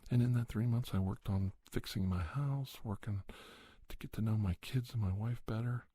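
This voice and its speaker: Low, husky middle-aged man, ASMR. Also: normal speed, no room sound. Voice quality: soft-spoken, breathy, often slipping into whisper. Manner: encouraging, folksy pep-talk advice. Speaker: podcast host playing an advice-giving doctor. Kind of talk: ASMR, one-sided role-play conversation.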